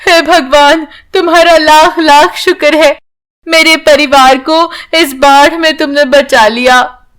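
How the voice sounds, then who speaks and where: An old village grandmother from a children's storybook played by a woman, speaking slowly. She is devout and tender, fretting over her family in a womanly, fairly high voice. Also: fairly even intonation, fairly bright tone. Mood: grateful.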